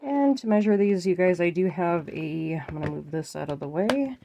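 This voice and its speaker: A woman's voice: on the low side, lively intonation, moderate pace, vocal fry, warm tone, a little hiss or hum. A woman with a stuffy, congested nose chatting casually to viewers while she makes bracelets. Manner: chatty, often addresses viewers as you guys. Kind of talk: casual chat while crafting.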